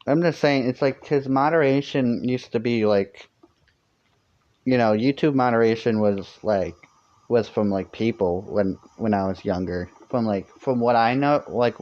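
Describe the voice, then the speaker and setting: A young man with a somewhat high voice chats on a live stream. He rambles and restarts his sentences constantly, filling every gap with "like" and "you know". The audio sounds a little dull and lo-fi. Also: measured pace, darkish tone. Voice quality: fairly high-pitched for a man. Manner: rambling, many fillers and restarts. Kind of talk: casual live-stream chat.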